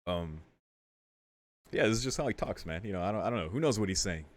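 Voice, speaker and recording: Casting: male gaming host, lowish voice, casual and jokey, slang-heavy, reads dialogue boxes aloud. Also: lively intonation, quick pace. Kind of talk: casual playthrough commentary, reading game text aloud. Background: game music.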